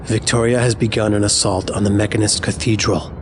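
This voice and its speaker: A man's voice: fairly low pitch, steady tone, a little fast, noisy background, natural voice. A man playing a solemn, scholarly keeper of secrets in a video game, speaking formally as a friend. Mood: solemn warning. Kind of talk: video game cutscene acting.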